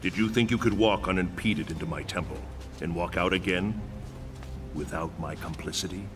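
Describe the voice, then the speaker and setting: A man's voice: sits low, normal speed, music in the background, natural voice. A man playing a solemn, wise thunder god mentor in a video game, speaking with formal, grave diction. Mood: stern. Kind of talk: dramatic video game cutscene acting.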